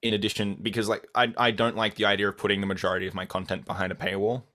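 Young Australian man, lowish voice, thoughtful and measured, restarts often; interview guest. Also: little pitch movement, pushed and bright. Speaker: guest, audio content creator. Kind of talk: casual interview answers.

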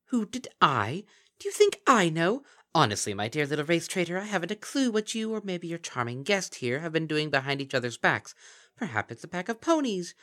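A man's voice: fairly high pitch, very animated, fairly quick, bright, forceful tone, pitched above the performer's own voice. A man voicing a sly, mocking female kelpie in a lilting sing-song manner for a story reading.

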